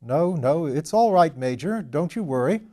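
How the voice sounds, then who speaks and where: A man's voice: on the high side, animated, normal speed, warm tone, voice pushed up, natural voice. A man voicing a loyal English manservant in an audiobook, reassuring, deferential and coaxing. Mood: stoutly reassuring. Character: loyal, soothing English manservant, stout and unflappable. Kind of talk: audiobook character voice.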